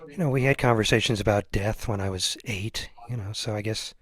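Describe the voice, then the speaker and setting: A man's voice: fairly low pitch, brisk. An American man hosting a casual interview in a hesitant, self-deprecating way. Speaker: show host, interviewer.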